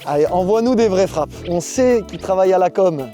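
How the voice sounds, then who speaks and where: Young male host, slightly high voice, upbeat chat, clear music behind. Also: a little fast. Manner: lively, joking banter. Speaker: YouTube host. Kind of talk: casual chat.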